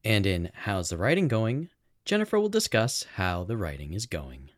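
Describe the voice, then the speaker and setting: A man with a very low voice co-hosts a home podcast, his pitch swinging widely as he talks. He rambles through stories, restarting and correcting himself. He sounds recorded at home on a boom-arm mic. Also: huge pitch swings, normal speed. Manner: rambling, self-correcting, chatty. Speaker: podcast producer and co-host. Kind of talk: casual podcast chat. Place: home recording setup in a house. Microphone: boom-arm microphone.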